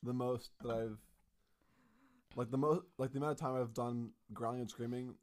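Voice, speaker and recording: A young adult man with a deep voice, a podcast host who talks casually and hesitantly, restarting his sentences. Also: steady tone, moderate pace, darkish tone.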